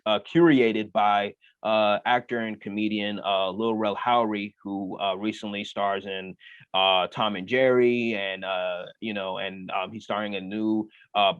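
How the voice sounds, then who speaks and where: A young man with a lowish voice talks through his answers in an easygoing way, leaning on fillers like "uh" and "you know". The sound is dry and a bit muffled, like a remote call. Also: fairly bright tone, no echo. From United States. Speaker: comedian guest. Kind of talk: casual interview answers.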